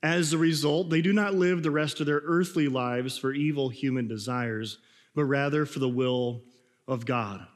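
Hoarse preacher man in an echoey hall. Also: mid-range voice, moderate pace. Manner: conversational, rambling with restarts. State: hoarse, coughing. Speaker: pastor. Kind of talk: sermon.

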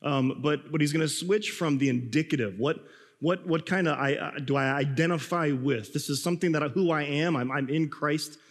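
A man preaching a sermon, hoarse and a bit raspy from a cold, talking in a loose conversational way with restarts. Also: on the high side, moderate pace, in a large hall. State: hoarse, coughing. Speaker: pastor.

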